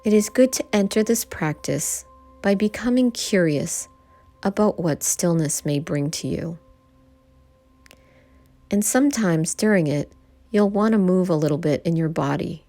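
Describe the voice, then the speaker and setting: A woman guiding a yoga nidra meditation, giving gentle instructions in short phrases with pauses between them. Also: fairly low pitch, no room sound. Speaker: meditation guide. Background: background music.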